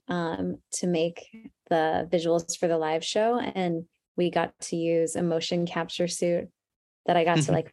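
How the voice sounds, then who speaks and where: A woman musician talking in an interview, soft-spoken and thoughtful with many fillers. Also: on the low side, no room sound, clean studio sound. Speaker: musician guest. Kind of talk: casual interview.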